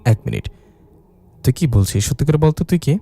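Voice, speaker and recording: A man's voice: medium pitch, lively intonation, a little fast, mellow, voice pushed up. A man voicing an irritable, exasperated female ghost who scolds and grumbles in a supernatural story. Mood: puzzled, irritated.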